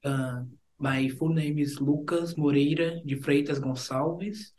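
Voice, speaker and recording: A teenage male student from Brazil with a Brazilian accent, a native Portuguese speaker giving casual answers in halting English. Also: fairly high pitch, steady tone, darkish tone. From Brazil, Minas Gerais. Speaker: student guest.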